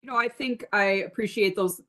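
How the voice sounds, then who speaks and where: A woman from a state agency giving a measured, diplomatic response on a video call. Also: a little high, bright, forceful tone. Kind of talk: official response in meeting.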